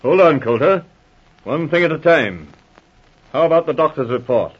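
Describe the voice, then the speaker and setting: An actor playing a cautious, diplomatic senior police officer in a 1940s radio drama, measured and authoritative. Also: male voice, on the high side, lively intonation, slightly rough. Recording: muffled old radio recording.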